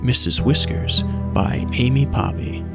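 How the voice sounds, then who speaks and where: A man's voice: medium pitch, unhurried, vocal fry. A man narrating from a script, as the announcer who closes an audio drama show. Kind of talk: scripted narration, reading closing credits. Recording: loud music under the voice. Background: new-age instrumental music.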